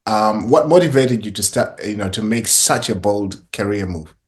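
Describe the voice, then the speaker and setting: A man hosting a casual interview podcast, asking questions in a relaxed way with plenty of fillers. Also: fairly low pitch, moderate pace. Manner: relaxed, many fillers like um and you know. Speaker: podcast host.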